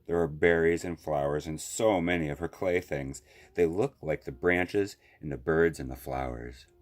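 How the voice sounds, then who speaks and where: Man, children's read-aloud, very low pitch, slow deliberate phrasing, long pauses, faint music. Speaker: library storyteller reading aloud.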